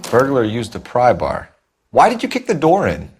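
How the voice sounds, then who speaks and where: A man's voice: mid-range voice, huge pitch swings, moderate pace, husky, soft background music. An American man playing an earnest, methodical 1940s police detective in a crime game, clipped and formal. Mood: official. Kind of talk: period crime game acting.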